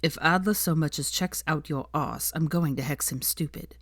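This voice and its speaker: Woman voicing a low, haughty young wizard. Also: normal speed, fairly bright tone, no room sound, studio quality. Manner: haughty, drawling. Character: haughty young wizard man, dry and proud. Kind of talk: audiobook character voice.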